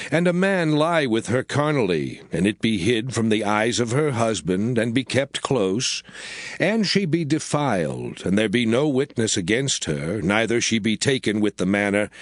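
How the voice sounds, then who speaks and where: Expressive male scripture reader over music. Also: fairly low pitch. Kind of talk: dramatized scripted Bible reading.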